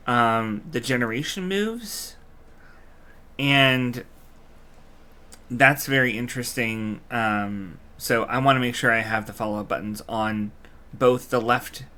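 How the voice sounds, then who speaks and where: A man's voice: mid-range voice, sharp tone. A man giving casual live commentary as a game streamer, reacting aloud and explaining game mechanics. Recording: game music behind the voice. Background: video game music.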